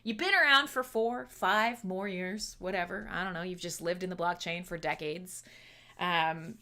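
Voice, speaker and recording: A woman's voice: on the low side, moderate pace, pushed and bright. A Canadian woman hosting a casual livestream chat, playful and chatty, laughing often as she talks. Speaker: livestream host.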